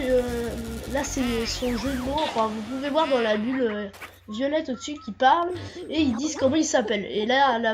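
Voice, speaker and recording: A high-voiced woman talks through a game walkthrough, her nose blocked by a cold so every word comes out nasal. She stumbles and restarts often, filling gaps with hesitant sounds, while faint game music plays underneath. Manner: hesitant, many fillers and restarts. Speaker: gameplay commentator. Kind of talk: casual walkthrough commentary.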